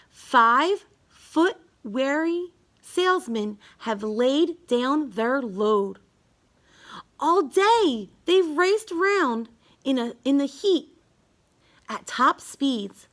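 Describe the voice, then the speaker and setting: A woman reading a rhyming children's bedtime story aloud, halting now and then with small stumbles over words. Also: high-pitched, fairly bright tone. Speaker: storybook reader.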